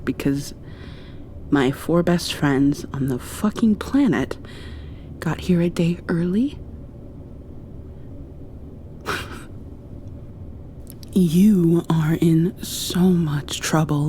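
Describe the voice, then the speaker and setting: A woman doing an ASMR roleplay, drifting between whispers and soft speech in an affectionate, playfully scolding way. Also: sits low, slight background noise.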